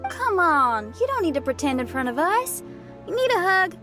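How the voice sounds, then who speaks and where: Very high, bubbly little companion. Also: female voice, sing-song, normal speed, over music. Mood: teasing, caring. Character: small, bubbly, childlike companion. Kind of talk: anime-style video game voice acting.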